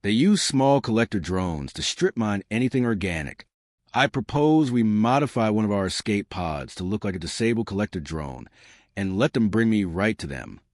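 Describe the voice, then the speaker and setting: A man's voice: on the low side, animated, normal speed, darkish tone, no echo, studio quality, close to an everyday voice. A man voicing a small, fierce woman space captain in an audio story, wry, teasing and confident. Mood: confident, sly.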